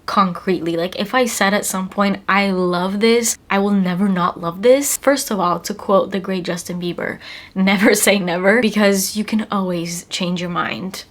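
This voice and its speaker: A woman's voice: medium pitch, normal speed. A young woman in her twenties with a congested cold voice, chatting casually to camera as a perfume reviewer, emphatic and repeating words for stress. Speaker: perfume reviewer, channel host. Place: small home room, indoors.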